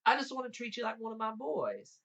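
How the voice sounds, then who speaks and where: A man's voice: very high voice, huge pitch swings, fairly quick, vocal fry, fairly bright tone, dull audio, pitched above the performer's own voice, heavily acted. A man voicing a coaxing, falsely motherly old giantess in a folktale, with an Appalachian accent and a wheedling manner. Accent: Appalachian American. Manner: wheedling, cajoling.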